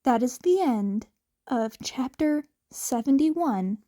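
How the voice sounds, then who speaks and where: A woman reading fiction aloud as an amateur audiobook narrator, with expressive scripted delivery. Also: high voice, slow pace.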